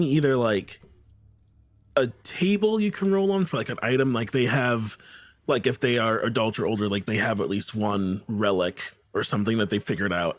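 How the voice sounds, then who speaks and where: A man in a casual podcast chat about tabletop games, loose and animated, swearing casually. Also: mid-range voice, no echo.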